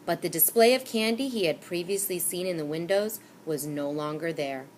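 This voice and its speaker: A woman reading a children's novel aloud with expressive storytelling. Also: fairly low pitch, normal speed, a little hiss or hum. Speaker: reader.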